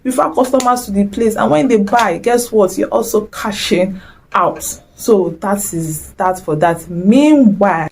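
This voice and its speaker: Chatty Nigerian woman, mellow voice. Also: fairly low pitch. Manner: chatty, exclamatory, mixes in Nigerian slang. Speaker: vlogger. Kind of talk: casual motivational talk to camera.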